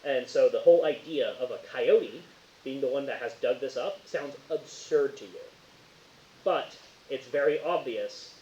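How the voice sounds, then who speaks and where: A man improvising narration as a tabletop horror game master, casual and halting as he searches for words. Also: mid-range voice, dark tone. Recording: roomy, dull, hissy home recording.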